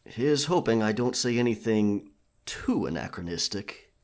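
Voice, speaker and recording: Earnest, low-voiced gallant knight played by a man. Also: lively intonation, measured pace. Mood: earnest, wary. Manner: formal, chivalrous, wordy speech. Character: earnest, courteous royal knight from a futuristic realm. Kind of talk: scripted roleplay ASMR audio drama.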